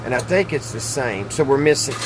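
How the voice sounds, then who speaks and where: A man's voice: medium pitch, expressive, fairly quick, background noise. A middle-aged man chatting casually and thinking aloud in a folksy, hesitant way as he demonstrates hands-on tinkering. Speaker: host of a hobby radio repair channel. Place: small wood-panelled indoor workshop.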